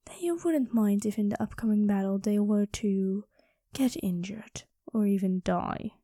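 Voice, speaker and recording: A woman's voice: mid-range voice, unhurried, warm tone, dry recording, clean studio sound, natural voice. A woman improvising an ASMR roleplay as a playful, possessive dragon queen, coy and intimate, often dropping into whispers. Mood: curious, jealous.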